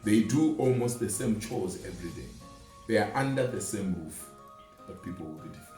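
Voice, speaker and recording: A man with a lively, swinging intonation talks in a conversational, motivational way, explaining through everyday examples. He is recorded at some distance in an echoey room. Music plays underneath. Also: lowish voice, fairly quick. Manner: illustrates points with everyday examples and imagined quotes. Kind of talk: conversational motivational talk on teamwork.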